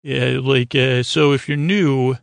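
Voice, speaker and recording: A man hosting a bedtime podcast in a rambling monologue, stammering and derailing himself, with a gravelly, creaky voice. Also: mid-range voice, fairly even intonation, slow, dry recording. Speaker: podcast host.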